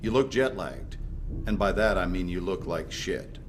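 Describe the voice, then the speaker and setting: An actor playing a male government interrogator who speaks in a measured, dry and pressing way. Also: sits low, a little hiss or hum, close to an everyday voice. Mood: cool.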